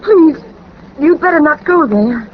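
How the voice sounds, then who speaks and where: A woman's voice: high voice, animated, measured pace. An actress playing a frightened young woman in danger, speaking politely and urgently in 1940s radio drama style. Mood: pleading. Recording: dull, noisy old 1940s radio recording.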